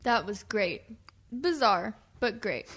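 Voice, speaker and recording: A woman hosting a radio comedy with scripted, clearly enunciated, showy presenter narration. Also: mid-range voice, expressive, takes it slowly, fairly bright tone.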